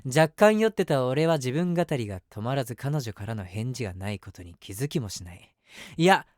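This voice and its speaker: An eager, lovestruck young man played by a male actor tells his story straight to the listener in a voice drama. His delivery is very lively in pitch, chatty and self-deprecating, with a submissive puppyish temper. The sound is dry and close. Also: fairly quick, dry recording, lightly acted. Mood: rambling, bashful. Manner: chatty, rambling, self-mocking. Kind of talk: situation voice drama, first-person monologue to the listener.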